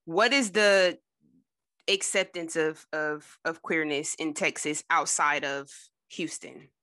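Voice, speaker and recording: A man's voice: a little high, fairly even intonation, slow pace, sharp tone, smooth, no room sound, clean studio sound. A man hosting a podcast, asking questions in a casual way and backing his guest up with short mm-hmms. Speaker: podcast host.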